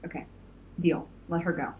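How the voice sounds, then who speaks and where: A woman's voice: moderate pace, highs cut off, close to an everyday voice. A woman playing a cocky, defiant masked villain in an audio drama, bargaining hard with a taunting, sardonic manner.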